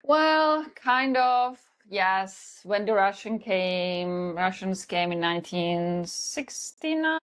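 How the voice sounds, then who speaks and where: A woman's voice: mid-range voice, expressive, slow pace, hardly any pauses. A woman in her forties from the Czech Republic with a Czech accent, an earnest health coach telling her story in a casual podcast interview. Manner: earnest, storytelling, slightly non-native grammar.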